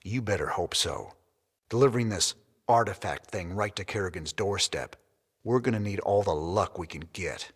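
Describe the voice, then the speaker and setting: A man playing a wry, determined rebel space commander in a video game, with a husky drawl. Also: low voice, normal speed. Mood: wry, resolute. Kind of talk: video game cutscene acting. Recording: reverberant room with music underneath.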